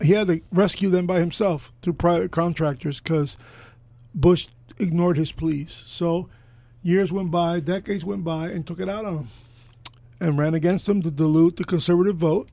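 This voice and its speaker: An older man with a hoarse voice, co-hosting a casual political chat in a gregarious, bombastic, argumentative way. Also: a little high, fairly even intonation, normal speed, mellow, no room sound. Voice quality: hoarse, gravelly voice. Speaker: podcast co-host.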